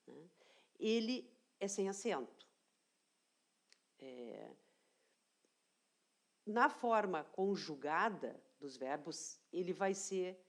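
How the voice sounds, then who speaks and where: Woman teacher, unhurried explainer, repeats points and checks in with the class; grammar lesson read. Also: mid-range voice. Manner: slow, deliberate explaining, repeats key phrases, checks in with "tá?". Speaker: language teacher.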